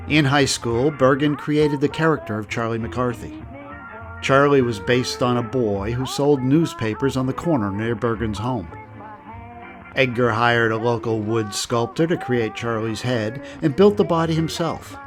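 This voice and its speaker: A man giving steady, measured scripted narration for a documentary. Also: mid-range voice.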